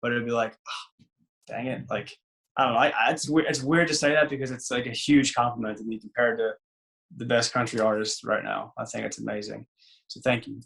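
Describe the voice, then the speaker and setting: A man in his twenties with a raspy voice, a singer and former ballplayer chatting loosely as an interview guest, full of fillers and restarts. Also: medium pitch, steady tone, brisk, fairly bright tone. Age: 20s. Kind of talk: casual interview chat.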